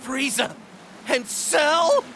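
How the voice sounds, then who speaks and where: A man's voice: very high voice, lively intonation, drawn-out words, vocal fry, background noise, heavily acted. A man voicing an earnest, protective martial-arts hero in a video game cutscene, impassioned and strained. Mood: outraged, anguished. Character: earnest, protective heroic husband, a small martial artist. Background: loud music.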